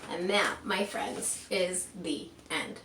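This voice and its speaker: Female storybook reader, lowish voice, expressive with chatty asides for young listeners; roomy sound. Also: slow pace, gravelly. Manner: expressive, commenting aside and asking rhetorical questions. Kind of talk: read-aloud picture book with chatty asides to young listeners. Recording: roomy, distant mic with faint background noise.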